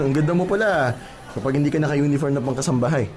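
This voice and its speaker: A man's voice: a little high, quick pace, a little mellow, faint music behind, lightly acted. A man playing an awkward, contrite garbage collector in an audio drama, talking hesitantly to a woman he likes. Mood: amused, surprised. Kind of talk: radio-drama style acting.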